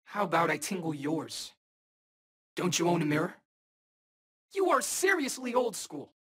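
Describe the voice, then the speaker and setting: Cocky young superhero, lively, slightly husky. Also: male voice, high voice, measured pace, full character voice. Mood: cocky, teasing. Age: young adult. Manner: cocky, wisecracking, slangy. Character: cocky, wisecracking eighteen-year-old male armored superhero. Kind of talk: video game voice acting, short battle quips.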